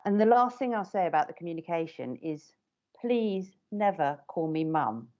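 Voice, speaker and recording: Middle-aged woman, parent carer, blunt and emphatic, lowish voice, kinda muffled lo-fi call audio. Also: animated, moderate pace, a little mellow. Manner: firm, direct and emphatic. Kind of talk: panel discussion on a video call. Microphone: video call.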